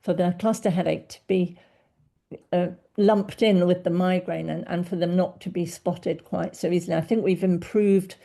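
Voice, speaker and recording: Woman doctor host, low-ish mellow voice with some fry, attentive interviewer. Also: steady tone, normal speed. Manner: warm, attentive questioning with frequent backchannels. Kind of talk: podcast interview.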